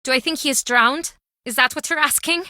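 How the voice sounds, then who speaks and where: A woman's voice: high-pitched, fairly quick, pushed and bright, no room sound, studio quality. A woman playing a guarded, bitter small-town pub owner in a video game, speaking in a curt, brooding, dramatic way. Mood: curt, dismissive. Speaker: game character.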